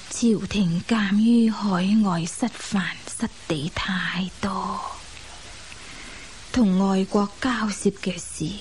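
An actress playing a reflective woman who recounts her married past in a measured radio drama style. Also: medium pitch, a little mellow, slight background noise, rough recording. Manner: unhurried, measured recollection. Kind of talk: Cantonese radio drama storytelling.

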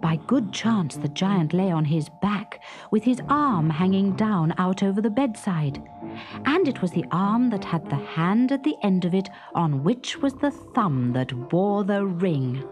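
A woman narrating a children's story in a dramatic, expressive, suspenseful storybook style. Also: mid-range voice, a little slow, over music.

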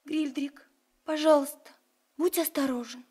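A woman's voice: sits high, steady tone, measured pace, a little mellow. An actress playing an anxious, caring giant young girl in a Russian radio play, solicitous and childlike. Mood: anxious, warning.

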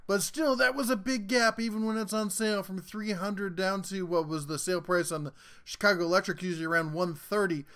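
A man's voice: high voice, steady tone, brisk, sharp tone, clean tone. A man hosting a tool review channel, giving a casual, folksy and jokey rundown of a new product as a voice-over.

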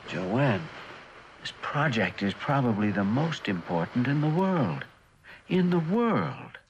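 A man's voice: medium pitch, very animated, slow pace, highs cut off. An actor playing an earnest, weary husband devoted to his work and evasive about it, in a mid-century television drama. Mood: resolute. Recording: noisy old television drama soundtrack.

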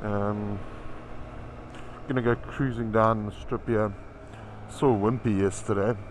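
A man's voice: on the low side, fairly even intonation, slow pace. A man riding a motorcycle outdoors, vlogging in a chatty, profane, self-mocking way through a helmet camera. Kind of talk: casual rambling travel vlog. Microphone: helmet-mounted camera. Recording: loud wind and engine noise.

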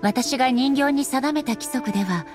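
A woman playing a solemn, dignified female ruler in an anime game, speaking formally and with measured politeness. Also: high-pitched, over music, close to an everyday voice. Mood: firm, formal. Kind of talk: anime game dialogue acting.

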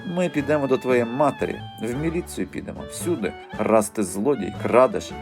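A man's voice: medium pitch, moderate pace, over music, natural voice. A man voicing a determined, hot-tempered young girl in an audiobook, confident and accusing. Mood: indignant. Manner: forceful, confident, indignant.

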